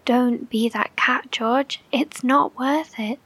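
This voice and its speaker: An actress playing an anxious, caring bunker survivor in a horror audio drama, speaking softly, gently and hesitantly. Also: female voice, sits high, fairly even intonation, slow, slightly rough, no room sound, exaggerated voice. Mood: pleading.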